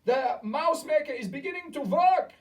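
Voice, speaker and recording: A narrator voicing the female leader of the witches, a cruel and imperious figure with a shrill, shrieking manner, in an audiobook reading. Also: huge pitch swings, normal speed, warm tone, distant mic. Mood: gloating.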